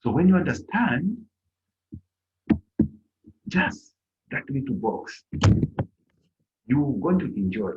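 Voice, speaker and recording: Middle-aged male teacher, animated, lo-fi call audio. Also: mid-range voice, slow, pauses often, faint music behind. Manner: animated, acts out little dialogues in a raised voice. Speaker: German language teacher. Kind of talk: online class lesson. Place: indoor room on a video call. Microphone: laptop mic on a video call.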